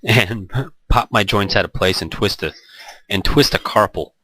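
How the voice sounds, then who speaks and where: A man's voice: fairly low pitch, unhurried, sharp tone. A man hosting a Let's Play, chatting casually and reading visual novel text aloud in a rambling, joking way.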